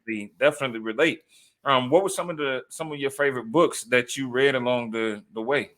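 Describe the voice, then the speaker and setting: Bright-voiced male interview host. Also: mid-range voice, fast. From Texas. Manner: warm, affirming, relates personally. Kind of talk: casual interview talk.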